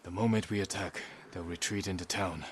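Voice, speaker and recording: Give a video game samurai lord, resolute and commanding played by a man. His voice sits low and his delivery is measured and serious. A little background noise sits behind him. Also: steady tone, lightly acted. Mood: low, watchful. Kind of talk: dramatic video game acting. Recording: outdoor game ambience behind the voice.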